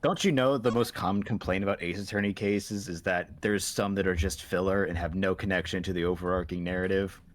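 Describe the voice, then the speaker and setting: A man's voice: low voice, fairly even intonation, brisk, hardly any pauses. A man joking casually with friends during a game dub, in a dry, sarcastic way.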